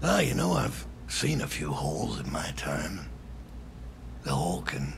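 Video game crime boss: American, gravelly, foul-mouthed and impatient, wildly swinging intonation. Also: male voice, a bass voice, wildly swooping pitch, big-room sound, a little hiss or hum, full character voice. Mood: sardonic. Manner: profane, slangy, drawn-out. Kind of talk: cinematic video game acting.

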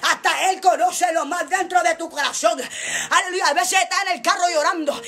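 A woman with a strikingly high voice preaches a fervent sermon. She declaims in emphatic, repeated phrases, with an impassioned delivery that often climbs toward shouting. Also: steady tone, normal speed. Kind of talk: fervent Pentecostal-style sermon.

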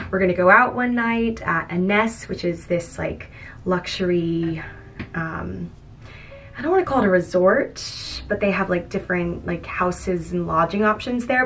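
A woman's voice: on the low side, fairly bright tone, slight background noise. A young adult woman talking casually and informally to her vlog audience in slangy everyday speech. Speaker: lifestyle vlogger. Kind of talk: casual chatty vlog talk. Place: indoors at home and in a house.

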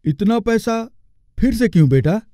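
A man voicing a kindly middle-aged company boss and indulgent father in a cartoon story, in a fatherly, measured way. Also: sits high, expressive, mellow, dry recording, studio quality. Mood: calm. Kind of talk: Hindi cartoon story acting.

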